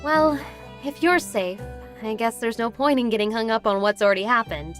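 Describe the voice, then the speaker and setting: A woman voicing a sensible, articulate young detective in a cartoon, speaking in an earnest, measured way. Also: a little high, soft background music, lightly acted. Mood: measured concern.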